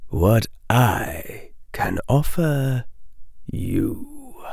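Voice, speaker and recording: Sinister whispering mist being, a man doing it, dry hissing whisper, very slow, insinuating, dead-dry sound. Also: mid-range voice, big pitch swings, vocal fry, darkish tone, husky, no room sound, full character voice. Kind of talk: audiobook character voice.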